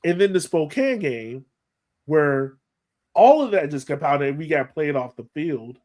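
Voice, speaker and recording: A man with an American accent chatting casually as a sports podcast co-host, animated and self-deprecating, often thinking aloud. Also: a little high, a little slow.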